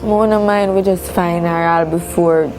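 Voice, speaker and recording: A woman's voice: fairly low pitch, fairly even intonation, measured pace, rough recording. A woman with a Jamaican accent speaking casually as an interviewee. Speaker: interviewee, sister of a missing woman. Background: background music.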